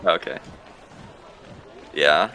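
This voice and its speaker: A man's voice: a bass voice, drawn-out words, pushed and bright, a little hiss or hum, rough recording. A man talking casually as a player in a tabletop role-playing game. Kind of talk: casual chat.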